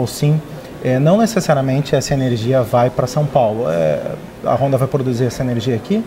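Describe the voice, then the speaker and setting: A man in his thirties, a newspaper editor, explaining a story in a live interview with frequent hesitations. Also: mid-range voice. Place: open-plan newsroom.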